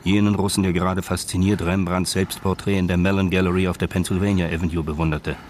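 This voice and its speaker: Male narrator for crime radio play. Very low voice, scripted storytelling, clear street ambience underneath. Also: flat delivery, fast, a little mellow, a little hiss or hum.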